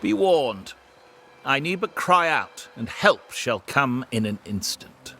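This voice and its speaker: An actor playing a wary travelling merchant squire in a period game, speaking in a theatrical, formal and florid way. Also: male voice, medium pitch, sing-song, slow, bright, forceful tone, a little hiss or hum, heavily acted. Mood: wary, threatening. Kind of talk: dramatic period game acting.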